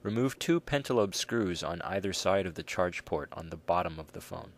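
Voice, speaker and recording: A man's voice: low voice, animated, normal speed, no room sound. A man reads scripted step-by-step instructions as the narrator of a repair tutorial.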